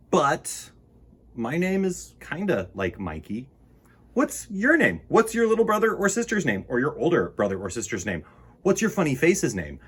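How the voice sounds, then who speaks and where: A man's voice: medium pitch, fairly bright tone, slightly rough. A man hosting a children's storytime, reading and chatting to young listeners in a playful, whimsical, rambling way. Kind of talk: picture-book reading and playful chat to children.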